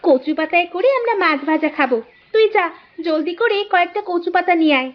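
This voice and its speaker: A performer voicing a bossy, quick-witted village girl, the big sister in a cartoon. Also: very high voice, lively intonation. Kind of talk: cartoon voice acting. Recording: dull lo-fi audio.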